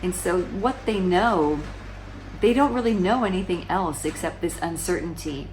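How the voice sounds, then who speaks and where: A woman's voice: fairly low pitch, normal speed, darkish tone, slight background noise, low-quality recording. A woman in her forties explaining current events to camera in a casual, conversational way, often restarting sentences and asking rhetorical questions. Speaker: vlogger. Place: small room indoors, seated on a sofa.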